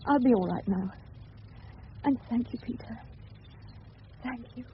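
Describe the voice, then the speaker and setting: A woman's voice: a little high, unhurried, close to an everyday voice. An actress playing a fragile, disillusioned married Englishwoman in a radio drama, speaking falteringly and tenderly. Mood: bitter, tearful. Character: fragile, disillusioned married woman, the boy's neighbour. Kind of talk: 1970s radio play acting. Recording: muffled, faintly hissy old radio broadcast.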